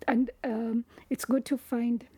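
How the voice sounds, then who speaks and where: A woman with a Kenyan accent, a researcher talking casually about her work in a soft, thoughtful way that often trails into a whisper. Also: on the high side, flat delivery, slow pace, husky, no echo. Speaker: cheetah researcher, guest. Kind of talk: casual conversation.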